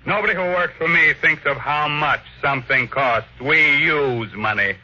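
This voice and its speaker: An actor playing a cold, imperious millionaire who gives brusque orders in an old-time radio drama. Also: male voice, on the high side, moderate pace, bright, forceful tone. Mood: curt, stern. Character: domineering, cold millionaire tycoon barking orders. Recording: dull vintage radio recording.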